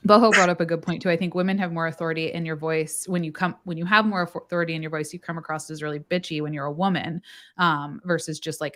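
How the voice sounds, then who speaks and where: Nurse lady hosting a chat, kinda low voice, laughs a lot, lots of "like". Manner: animated, laughs often, fillers. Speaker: host, charge nurse. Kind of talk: casual panel chat.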